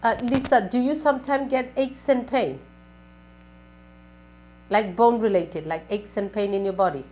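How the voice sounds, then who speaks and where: A woman's voice: a little high, a little slow, a little mellow, slight background noise, poor audio. A woman working as a spiritual advisor on a live call-in show, giving advice in a repetitive, questioning way.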